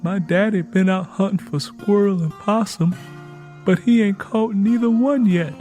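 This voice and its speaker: A man's voice: high voice, unhurried, darkish tone, soft background music, voice pushed up. A man voicing an earnest, chatty, hungry country girl with a Southern accent in folksy rural dialect for an audiobook. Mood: pleading. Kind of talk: audiobook character voice.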